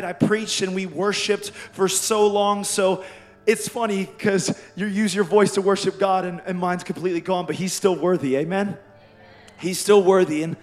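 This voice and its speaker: Hoarse young man preaching to a youth group. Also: sits high. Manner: energetic, calls out to the crowd. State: hoarse, voice worn out. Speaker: youth pastor. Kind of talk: youth sermon.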